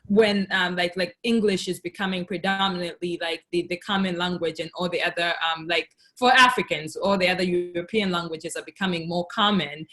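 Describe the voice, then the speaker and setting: Tanzanian woman hosting a casual video call, native swahili speaker, kinda low voice, says like a lot and stops and starts. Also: steady tone, a little fast, hardly any pauses, fairly bright tone. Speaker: host of a virtual cultural session.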